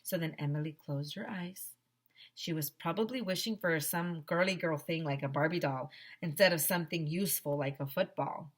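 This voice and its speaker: Woman, middle-aged, lowish voice, teacher's read-aloud, expressive, deliberate emphasis. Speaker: teacher reading aloud to pupils. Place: small decorated home classroom, indoors. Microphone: headset microphone.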